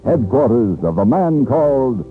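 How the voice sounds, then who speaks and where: A man's voice: mid-range voice, sing-song, unhurried, faint music behind. A man announcing in 1950s radio style, emphatic and salesmanlike. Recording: tinny, scratchy vintage radio recording.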